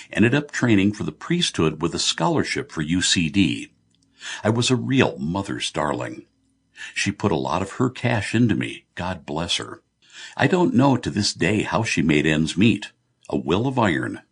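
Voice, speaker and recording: Casting: male narrator as a blunt, good-humoured Irish tycoon in middle age for an audiobook; low, lively, teasing delivery. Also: normal speed, slightly rough, heavily acted. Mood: wry, reminiscing.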